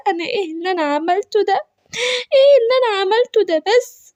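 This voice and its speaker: A woman's voice: extremely high pitch, lively intonation, fast talker, a little mellow, dull audio. A woman voicing a mischievous, whiny boy lion cub in Egyptian Arabic for a children's cartoon story, childish and dramatic. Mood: sorrowful, crying.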